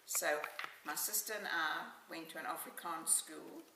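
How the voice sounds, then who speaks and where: Low, creaky older South African woman. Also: moderate pace. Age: 70s. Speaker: oral history interviewee. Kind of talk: reminiscing life story in an interview. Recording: echoey room, distant mic, faint hiss.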